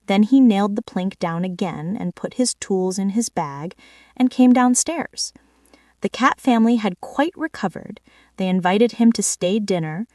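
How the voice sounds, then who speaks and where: A woman narrating a children's bedtime story with measured, expressive, deliberate phrasing. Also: medium pitch, no room sound, studio quality. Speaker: storyteller reading a children's book aloud. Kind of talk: scripted storybook narration, bedtime read-aloud.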